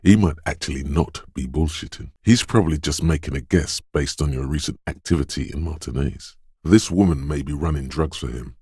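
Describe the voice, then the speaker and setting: Detective's inner intuition, video game narration, extremely low pitch, measured, knowing, suspicious, dry close recording. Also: male voice, a little mellow, dry recording. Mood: musing, suspicious. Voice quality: very deep, smooth, resonant. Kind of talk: scripted game narration, second-person inner voice.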